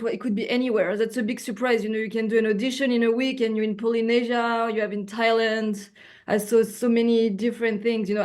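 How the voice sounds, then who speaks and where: A French woman speaking English as her second language, an actress chatting casually on a video call, often pausing for words and restarting. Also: on the high side, a little mellow. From France. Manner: searching for words, frequent fillers and restarts. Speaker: actress, guest.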